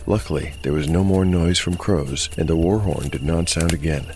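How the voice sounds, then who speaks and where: A man with a deep voice narrates a dark fantasy tale as game master. His delivery is measured, scripted storytelling, with soft music underneath.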